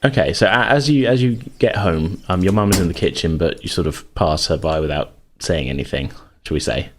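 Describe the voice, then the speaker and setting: A man's voice: low voice, brisk, slight background noise. A man acting as game master, improvising tabletop game narration in informal, hesitant phrasing.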